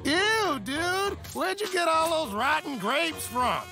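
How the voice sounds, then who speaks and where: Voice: very high-pitched, expressive, takes it slowly, exaggerated voice. An actor playing a laid-back, slangy young superhero in a cartoon, casual and exclamatory. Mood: excited. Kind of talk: animated cartoon voice acting. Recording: music behind the voice.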